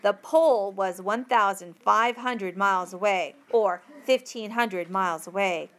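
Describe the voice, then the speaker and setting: A woman reading a textbook passage aloud as a teacher would, with careful, deliberate phrasing. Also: mid-range voice.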